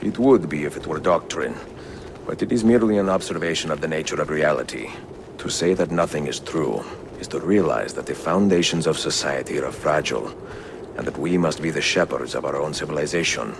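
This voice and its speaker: An actor playing a weary, reflective older Italian assassin in a game cutscene, speaking in a measured, solemn way with an Italian accent. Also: male voice, a bass voice, vocal fry, background noise. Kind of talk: dramatic game cutscene dialogue and letter narration.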